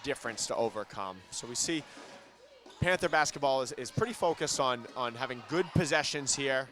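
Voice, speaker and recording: A man calling a school basketball game live, brisk play-by-play that gets loud on big shots, with a ball bouncing in the gym behind him. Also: medium pitch, lively intonation. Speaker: play-by-play commentator.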